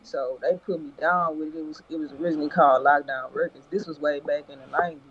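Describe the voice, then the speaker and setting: A man from Beaumont, a rapper talking as an interview guest in a laid-back, unhurried way. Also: fairly high pitch, steady tone. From Beaumont, Texas. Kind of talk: casual interview. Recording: dull remote call line with faint noise.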